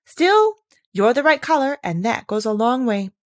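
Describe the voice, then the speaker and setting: A snooty talking rose from a storybook, as a woman acts her out. She is blunt and condescending, with a severe air and a lifted pitch. The recording is dry. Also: very animated, normal speed, no echo.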